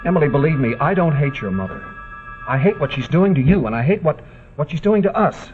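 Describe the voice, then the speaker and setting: Music sits behind this tinny old film recording, where a male actor plays an anguished lover. His fairly low voice is earnest and imploring, in vintage melodrama style. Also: animated, moderate pace, lightly acted. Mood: pleading. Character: earnest, anguished man pleading with the woman he loves. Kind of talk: vintage screen melodrama acting. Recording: tinny band-limited old film soundtrack.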